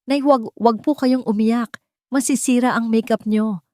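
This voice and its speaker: A woman's voice: a little high, steady tone, unhurried, a little mellow, dry recording, voice pushed up, natural voice. A young bride speaks with affection, playfulness and gratitude, acted by a woman storyteller. It is audio romance acting, recorded dry. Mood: gently joking.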